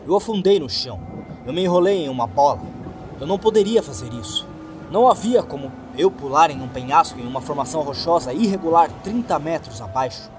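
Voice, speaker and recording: A Brazilian man reading a horror story in a dramatic first-person narration, with deliberate, suspenseful phrasing. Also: a little high. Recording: music behind the voice. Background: background music.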